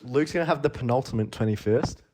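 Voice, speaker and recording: A man's voice: mid-range voice, quick pace, noisy background. A young man co-hosting a podcast, chatting casually, laughing often and swearing. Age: young adult. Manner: laughing, playful, tells stories and swears. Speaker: podcast co-host. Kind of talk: casual chat between friends.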